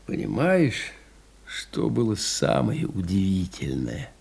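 An actor playing an exhausted, stubborn pilot recalling his survival in a radio play, speaking in a husky, gravelly voice with halting, weighted pauses. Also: fairly low pitch, wildly swooping pitch, a little mellow, full character voice. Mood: weary, intense. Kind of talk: dramatic radio play monologue.

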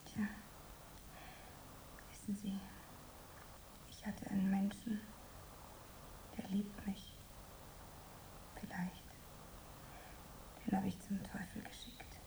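A woman playing a young aspiring actress in a radio play, dreamy, wistful and proud, with breathy theatrical musing. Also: medium pitch, steady tone, slow, pauses often, whispered, rough recording. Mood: sad, wistful. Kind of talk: radio play monologue and dialogue.

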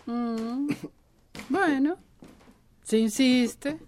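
An actress playing a hesitant, flustered village herbalist who repeats herself haltingly in a radio drama. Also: female voice, high voice, darkish tone, a little hiss or hum.

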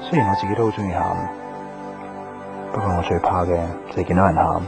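Radio drama role: patient, wistful young Hong Kong Cantonese suitor, deep voice, music underneath. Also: male voice, fairly even intonation, fast, gravelly. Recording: music behind the voice.

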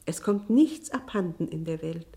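An actress playing a proud, embittered estranged wife and mother in a German radio drama, speaking in a deliberate, theatrical, eloquent way. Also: lowish voice, expressive, gravelly, warm tone. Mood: accusing.

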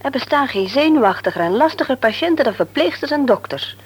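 A worried mother, highish voice. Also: lively intonation, fast, husky. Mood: earnest, explanatory. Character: Els, worried mother and former nurse, thoughtful. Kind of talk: Dutch radio play acting. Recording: humming, noisy radio play recording.

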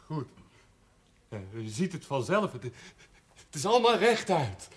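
An actor playing a childlike, lovestruck village simpleton in a television drama, speaking in halting, naive, blunt phrases. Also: male voice, fairly high pitch, big pitch swings, husky, heavily acted. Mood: dreamy. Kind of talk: 1970s Dutch television drama acting.

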